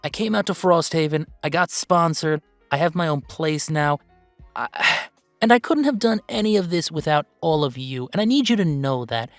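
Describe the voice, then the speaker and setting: A man playing an upbeat, earnest video game streamer who chats casually and haltingly in a livestream roleplay. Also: a little high, animated, dry recording, faint music behind. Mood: grateful, earnest. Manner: halting, rambling, sighing, earnest.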